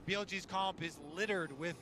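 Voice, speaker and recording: A man's voice: sits high, fairly even intonation, moderate pace, background noise. A man giving analytical live esports commentary in a conversational way, as if thinking aloud.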